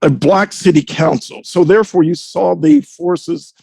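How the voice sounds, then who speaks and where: A man speaking as a panelist, giving reflective commentary in a deliberate, articulate way with formal wording. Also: high-pitched, big pitch swings, gravelly, mellow, slightly rough, dry recording.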